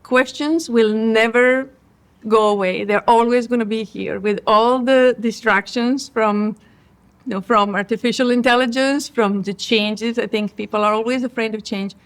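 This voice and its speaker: A woman's voice: fairly high pitch, steady tone, normal speed. Reflective woman from Croatia. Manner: thoughtful, with many restarts and fillers. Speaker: cardiologist guest. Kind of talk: conference interview.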